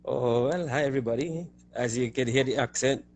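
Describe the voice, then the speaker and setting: A man in his forties with a Trinidadian accent, a guest on a live stream, speaking in an unhurried, halting way with many pauses. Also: medium pitch, a little mellow, no echo. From Trinidad and Tobago. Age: 40s. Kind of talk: casual live stream chat.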